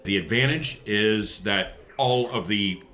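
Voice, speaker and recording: Bright, low-pitched man playing a tabletop game. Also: takes it slowly, faint music behind. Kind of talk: casual game chat.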